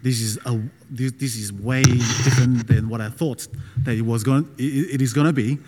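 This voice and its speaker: Man, middle-aged, non-native English from Taiwan, informal preaching, hesitant delivery, slightly low pitch for conversation, long hall reverb. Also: fairly even intonation, normal speed, background noise. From Taiwan, moved to Australia as a child. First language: not English. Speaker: guest preacher. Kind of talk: informal personal sermon. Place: large reverberant room with a gathering.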